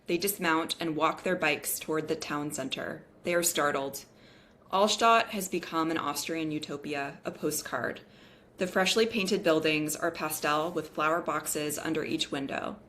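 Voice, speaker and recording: A woman's voice: low voice, fairly even intonation, measured pace, a bit bright, a little hiss or hum. A woman reading the scene directions of a script as narrator at a table read.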